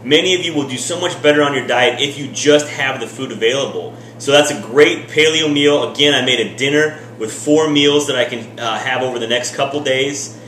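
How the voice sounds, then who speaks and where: A man's voice: mid-range voice, fairly quick, hardly any pauses, fairly bright tone, distant mic. Man in his thirties, upbeat direct coaching talk, home kitchen, roomy sound, faint background hum. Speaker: fitness vlogger. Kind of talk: casual how-to vlog.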